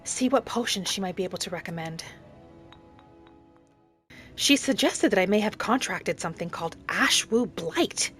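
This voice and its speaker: A woman narrating a fantasy game roleplay in character as a gentle young wood elf, with an unhurried, musing delivery. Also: medium pitch, expressive, faint music behind. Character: gentle young female wood elf traveler, earnest and principled.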